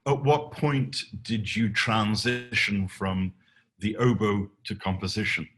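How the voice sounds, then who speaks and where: A man hosting an arts podcast, asking measured, conversational questions and sometimes repeating the start of a phrase. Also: on the low side, fairly even intonation, a bit bright. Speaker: podcast host, interviewer.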